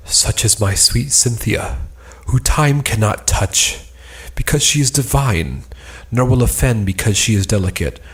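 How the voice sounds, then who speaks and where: A man's voice: fairly low pitch, lively intonation, a little slow. A man playing a haughty, lovesick young moon worshipper, declaiming archaic lines in an amateur cold read of an Elizabethan play. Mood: adoring.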